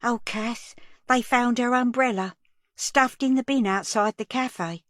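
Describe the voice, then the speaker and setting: A woman's voice: on the high side, unhurried, a bit bright, no room sound, studio quality, pitched above the performer's own voice. A woman voicing a distraught, exhausted mother whose daughter is missing. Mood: strained. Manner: strained, weary.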